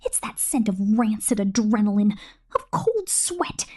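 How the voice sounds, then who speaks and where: A woman voicing a sadistic, possessive killer robot in an ASMR roleplay, breathy and often whispering, with a menacing, taunting, drawn-out delivery. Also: mid-range voice, big pitch swings, warm tone. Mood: gloating. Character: sadistic, possessive female killer robot drone toying with prey.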